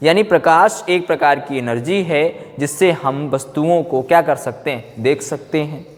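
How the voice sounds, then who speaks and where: A man's voice: fairly high pitch, normal speed. A man teaching a science class, repeating phrases, asking check questions and mixing in English technical terms. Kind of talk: classroom physics lecture for exam students. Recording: echoey room with long reverb.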